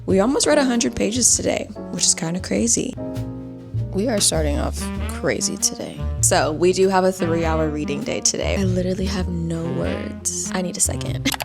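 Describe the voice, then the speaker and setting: Young woman vlogging, kinda stuffy, low fry-ish voice, chats casual and slips into whispers. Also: animated, moderate pace, over music. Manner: chatty, often drops to a whisper. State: stuffy-nosed. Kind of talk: casual vlog chat.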